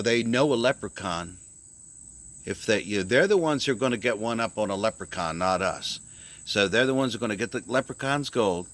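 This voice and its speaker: A man rambling through a deadpan comic story in a halting, meandering way. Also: lowish voice, creaky. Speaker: vlogger.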